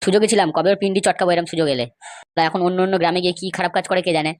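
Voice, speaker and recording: A woman's voice: on the low side, steady tone, racing, no room sound, natural voice. A woman playing an aggrieved, scheming male villager in a comedy cartoon, complaining in an emphatic, gossipy way. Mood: indignant. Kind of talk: amateur comedy cartoon dialogue.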